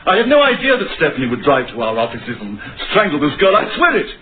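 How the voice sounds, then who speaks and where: An anxious suspect pleads in a vintage radio play. His high voice swings sharply in pitch, heard over a muffled, narrow broadcast. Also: wildly swooping pitch, fast talker, a little hiss or hum. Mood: desperate, pleading. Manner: agitated, protesting. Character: anxious, pleading male suspect, weak under accusation. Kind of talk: old-time radio drama acting.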